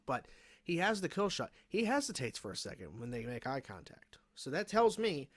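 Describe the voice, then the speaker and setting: A man's voice: on the high side, a bit bright. A man hosting a reaction video, giving casual commentary in a rambling, self-correcting way with frequent hedges. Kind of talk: casual review commentary on a cartoon episode.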